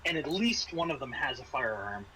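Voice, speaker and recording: A man's voice: medium pitch, big pitch swings, normal speed. A man narrating and refereeing a tabletop roleplaying game as game master. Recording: noisy room recording.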